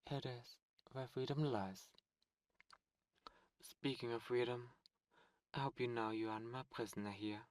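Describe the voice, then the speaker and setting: A man voicing a seductive ancient demon prince, a fallen angel, speaking softly and slowly in an ASMR roleplay. He coaxes and tempts, close to the microphone. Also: mid-range voice, fairly even intonation, no echo, lightly acted. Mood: tempting. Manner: soft, slow, coaxing and tempting.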